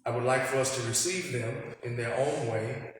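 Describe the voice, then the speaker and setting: A man moderating a panel, giving a formal public address in a solemn, measured, preacher-like way. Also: medium pitch. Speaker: moderator opening a panel discussion. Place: large indoor hall with long reverb. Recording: very echoey hall with faint noise.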